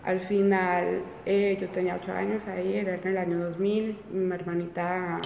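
A woman's voice: fairly low pitch, level tone, moderate pace, vocal fry, a little hiss or hum. A woman in her twenties, an interview guest telling her own story casually, with many fillers and restarts. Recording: roomy, reverberant, dull sound.

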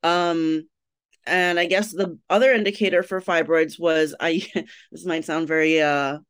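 Woman from Trinidad, podcast guest: open, lively storyteller with a crisp, clear voice. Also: sits low, measured pace, no room sound, studio quality. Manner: animated, laughs often, candid storytelling. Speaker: podcast guest, writer and blogger. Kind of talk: casual interview chat.

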